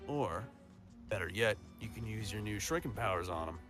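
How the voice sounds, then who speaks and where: A man's voice: lowish voice, expressive, normal speed, over music, lightly acted. A man playing a cocky, reckless superpowered fighter in an audio drama, brash and joking. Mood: playful. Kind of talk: scripted audio drama acting.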